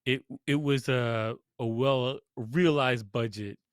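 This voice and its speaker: A man's voice: mid-range voice, slow pace, no echo, studio quality. A man co-hosting a podcast, giving a casual film review in an informal, conversational, lightly amused way. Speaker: podcast co-host reviewing films.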